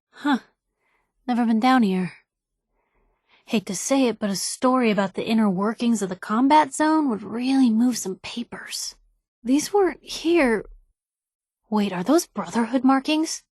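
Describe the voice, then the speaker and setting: A snarky nosy young lady reporter for a video game, kinda high voice, wisecracking, really dry studio sound. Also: dry recording. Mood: wry, curious. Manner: wry, sarcastic, inquisitive. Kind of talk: game companion dialogue.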